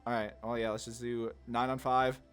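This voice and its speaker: A man's voice: medium pitch, flat delivery, moderate pace, a bit bright, smooth. A man giving casual gameplay commentary, thinking aloud in a laid-back, slangy way. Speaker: card game video host. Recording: music under the voice. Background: music.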